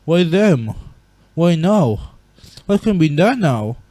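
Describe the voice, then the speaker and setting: A man playing a grieving, regretful monster king and gentle father in a dramatic comic dub, speaking in a solemn, earnest way. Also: on the high side, big pitch swings, slow pace, no echo, heavily acted. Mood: despairing.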